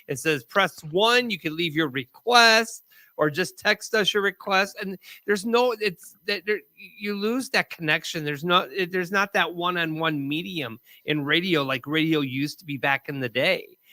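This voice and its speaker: Bright, chatty man on a podcast. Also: high-pitched, a little slow, no echo. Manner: chatty, nostalgic. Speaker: co-host. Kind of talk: casual podcast chat.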